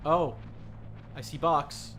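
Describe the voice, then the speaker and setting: A tired man casually commentating a live game stream, rambling, interrupting himself and thinking out loud. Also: fairly high pitch, fairly even intonation, slow, slight background noise. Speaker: streamer, VTuber.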